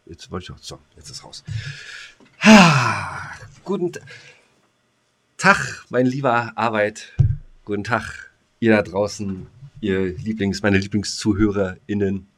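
A man's voice: on the low side, takes it slowly, pauses often, a bit bright. A man hosting a podcast in casual comedic chat, rambling and joking and often repeating his own phrases. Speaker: podcast co-host.

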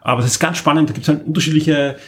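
A man's voice: on the high side, steady tone, rapid-fire, slight background noise. A man co-hosting a podcast, chatting casually and rambling with many fillers and restarts. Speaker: podcast co-host. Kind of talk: casual chat about radio plays.

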